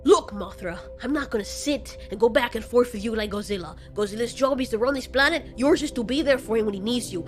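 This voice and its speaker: A woman's voice: fairly high pitch, a little fast, few pauses, faint music behind, close to an everyday voice. A woman voicing a cold male fire demon kaiju in an audio story, blunt, sardonic and slangy. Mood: forceful, cold.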